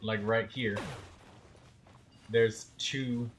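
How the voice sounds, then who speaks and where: A man's voice: medium pitch, fairly even intonation, extremely slow, a little hiss or hum. A man giving casual, instructive gameplay commentary in colloquial language, as a gaming host helping another player. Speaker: livestream game host.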